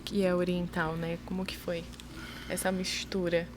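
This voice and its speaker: A woman interviewing a guest, mostly giving brief affirmations and asking soft questions. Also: lowish voice, little pitch movement, moderate pace, slight background noise. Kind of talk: conversational interview.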